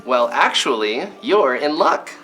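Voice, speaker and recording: A woman's voice: rumbling low, lively intonation, a little slow, fairly bright tone, soft background music, exaggerated voice. A woman playing a kindly mer person in amateur children's theater, speaking in a hesitant, theatrical way. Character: kindly, grateful lake-dwelling mer person.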